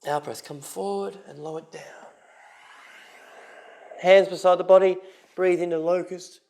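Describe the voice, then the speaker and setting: A man with a high-pitched voice guides a yoga class. His delivery is calm and unhurried, in short instructive cues, with a creaky, gravelly edge to the tone.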